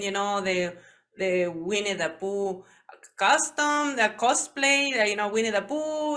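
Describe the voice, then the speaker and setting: Bright-voiced Mexican woman host. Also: mid-range voice. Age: 40s. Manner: halting non-native English, searching for words. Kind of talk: interview.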